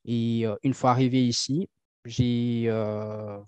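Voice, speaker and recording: A man answering interview questions and explaining things, often pausing with fillers and restarting his sentences. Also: medium pitch, level tone, darkish tone, clear, resonant tone, dry recording. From French-speaking country. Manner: hesitant, many fillers and restarts. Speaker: guest. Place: Toronto. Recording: dull lo-fi dry sound.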